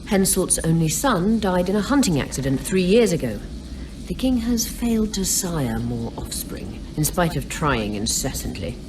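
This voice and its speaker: An actress playing a cool, scheming sorceress in a fantasy video game, measured and dryly sardonic. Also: female voice, very animated, music in the background. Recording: distant voice under loud rumbling ambience.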